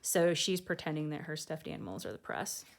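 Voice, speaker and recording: A woman's voice: low voice, very animated, a little fast, creaky. A woman speaking casually as a teacher hosting a picture-book read-aloud, with chatty, informal asides.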